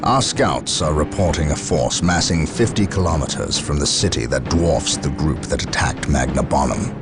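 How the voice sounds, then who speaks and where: A stern armored commander from a sci-fi game. His voice is very deep and authoritative, with lively emphasis and deliberate military wording, set over loud music. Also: heavily acted. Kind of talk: video game cutscene acting.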